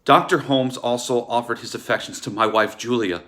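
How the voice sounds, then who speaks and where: An actor playing a bitter, wronged husband in a theatrical table read, speaking in an accusatory, ominous and emphatic way. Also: male voice, mid-range voice, moderate pace, bright, forceful tone, close to an everyday voice. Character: bitter, wronged husband warning about a sinister doctor.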